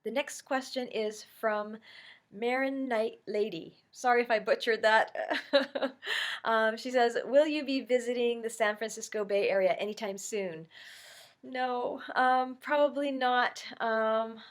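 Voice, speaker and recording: A woman's voice: on the high side, measured pace. A middle-aged woman from Canada, congested with a cold, chatting casually through a Q&A for her vlog with lots of ums and you-knows. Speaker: YouTube vlogger. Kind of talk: casual Q&A chat to camera.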